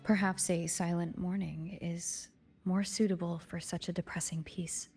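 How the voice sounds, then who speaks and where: Husky, mellow, perceptive young woman. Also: fairly low pitch, flat delivery, a little slow. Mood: calm, probing. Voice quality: soft, husky, breathy. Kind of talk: understated video game voice acting. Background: background music.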